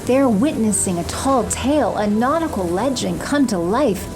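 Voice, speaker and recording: A woman's voice: on the high side, lively intonation, a little slow, over music. A woman reading scripted third-person narration for an audio drama.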